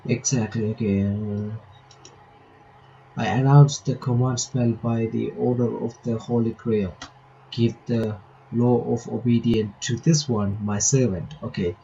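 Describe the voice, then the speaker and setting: A man with a soft, dark voice plays through a visual novel for his channel. He reads the text aloud and reacts informally between lines. The recording is slightly dull, with faint noise underneath. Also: medium pitch, steady tone, unhurried, creaky. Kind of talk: casual playthrough commentary, reading game text aloud. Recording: muffled lo-fi mic with faint noise.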